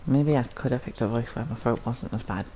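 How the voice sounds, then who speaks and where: A man with a cold, hoarse and phlegmy, rambling through casual gameplay commentary and clearing his throat now and then. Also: medium pitch, a little fast, warm tone, dull audio, slight background noise. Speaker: gaming channel host. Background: video game music.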